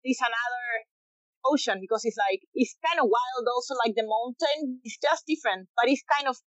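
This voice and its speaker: Chilean woman, late twenties, slightly high. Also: no echo, dull audio. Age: late 20s. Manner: halting, many restarts and fillers. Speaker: guest, professional bodyboarder. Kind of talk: casual interview conversation.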